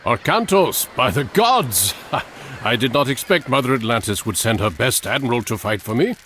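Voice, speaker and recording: A man's voice: mid-range voice, big pitch swings, normal speed, fairly bright tone, slight background noise. An actor playing a self-assured ancient Greek king in a video game, hearty, grandiose and commanding. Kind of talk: video game cutscene acting.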